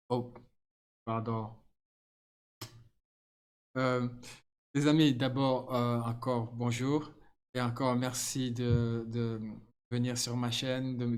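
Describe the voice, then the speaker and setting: A middle-aged man, not a native French speaker, chatting casually to his viewers with frequent laughs and halting restarts. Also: mid-range voice. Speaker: channel host.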